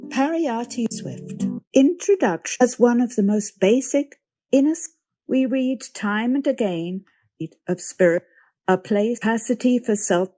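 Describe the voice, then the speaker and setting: A woman reads scripted narration in a fairly high, very mellow voice. Plucked guitar music plays gently behind her words. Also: expressive, a little slow. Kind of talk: scripted narration played from an audio track.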